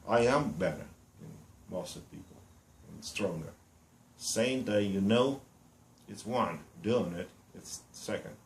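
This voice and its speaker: A man in his fifties from Romania with a Romanian accent, introducing himself bluntly and boastfully in broken English with many pauses and fillers. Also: lowish voice, roomy sound, a little hiss or hum. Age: 50s. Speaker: reality show applicant. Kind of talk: casual self-introduction to camera.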